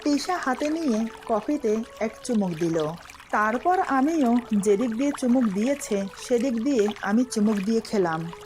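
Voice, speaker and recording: A woman's voice: fairly high pitch, darkish tone, slight background noise. A woman reading a scripted romantic story as its narrator.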